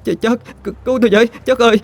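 A man doing a shrill frightened young woman. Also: fairly even intonation, rapid-fire, vocal fry. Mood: panicked. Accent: Southern Vietnamese. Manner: high shrill stammering protests.

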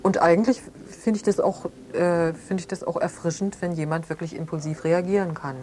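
A woman's voice: on the low side, fairly even intonation, brisk, darkish tone, slight background noise, natural voice. An actress playing a reticent woman being questioned in a naturalistic radio drama, searching for her words. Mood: reflective. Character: hesitant party member, reticent friend of another woman.

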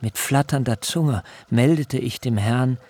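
A man acting an anguished servant who recounts events solemnly and deliberately in a literary radio drama. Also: mid-range voice, a little mellow. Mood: solemn, shaken. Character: anguished male servant recounting a birth in an ancient household.

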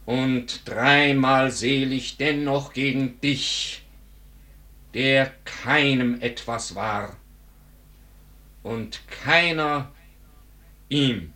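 An actor playing an embittered man accusing a former friend, declaiming solemn, deliberate verse in a theatrical radio drama style. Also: medium pitch, pushed and bright. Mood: fierce accusation.

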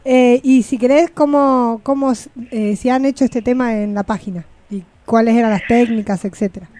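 A woman's voice: a little high, fairly even intonation, normal speed, mellow, a little hiss or hum. An Argentine woman hosting a radio show, interviewing in a casual, conversational way.

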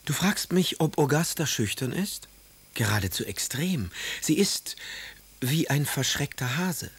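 An actor playing a moody, sardonic aristocratic poet in a German radio play, speaking letters in wry, eloquent phrases. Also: male voice, a little high, expressive, unhurried, breathy edge, full character voice.

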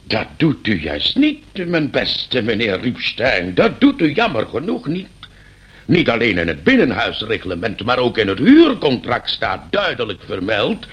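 Pompous, husky-voiced landlord. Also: male voice, medium pitch, exaggerated voice. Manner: pompous, mock-courteous, lecturing. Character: stern, condescending landlord scolding his eccentric tenant. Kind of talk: radio play acting.

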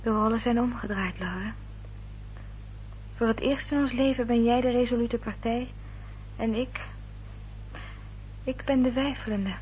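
A woman's voice: a little high, steady tone, measured pace, long pauses, natural voice. A woman playing a devoted, protective sister in a radio drama, speaking in a formal, literary and carefully articulated way. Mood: troubled, protesting. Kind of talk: Dutch radio drama acting and narration. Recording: dull, noisy old radio recording with hum.

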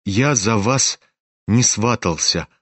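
A man voicing a timid, gentle young nobleman in a storybook reading, speaking softly and hesitantly. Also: fairly low pitch, lively intonation, takes it slowly, slightly rough, dry recording, full character voice. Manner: soft, hesitant.

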